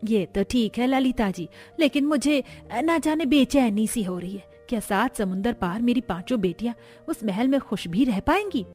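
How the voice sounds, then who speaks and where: Woman playing an anxious mother, high voice. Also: expressive, normal speed, darkish tone, soft background music, close to an everyday voice. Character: anxious, middle-aged, caring mother of five daughters. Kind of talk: Hindi cartoon dubbing.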